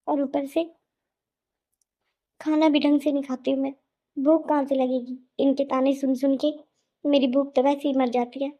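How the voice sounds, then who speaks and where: A woman's voice: high voice, warm tone. A woman voicing a weary, hurt young daughter-in-law who pleads plaintively in a melodramatic cartoon. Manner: plaintive, pleading, self-pitying. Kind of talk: melodramatic Hindi cartoon voice acting.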